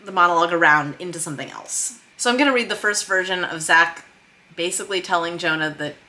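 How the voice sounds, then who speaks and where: A woman's voice: fairly low pitch, fast, pushed and bright. A woman talking casually and emphatically, thinking aloud as she explains her work in a vlog. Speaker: screenwriter and vlog host. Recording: noticeable background noise.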